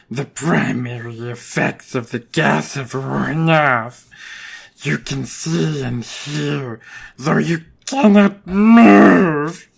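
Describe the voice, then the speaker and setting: Gravelly, slow-talking gloating supervillain. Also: male voice, fairly high pitch, heavily acted. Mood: menacing triumph. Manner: grandiose, deliberate. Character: gloating male supervillain crime boss, scheming and triumphant. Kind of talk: motion comic villain acting.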